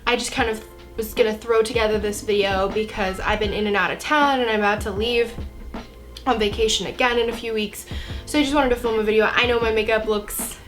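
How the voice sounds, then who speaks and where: A woman's voice: a little high, fairly even intonation, brisk, faint music behind. A twenty-year-old American woman from Florida with a cold, chatting casually and informally to camera as a book vlogger. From Florida, United States. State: has a cold.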